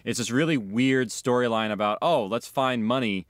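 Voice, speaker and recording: A man's voice: medium pitch, moderate pace, no room sound, clean studio sound. A man speaking in a documentary interview as a writer, director and creator of audio drama. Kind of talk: documentary interview about their own work.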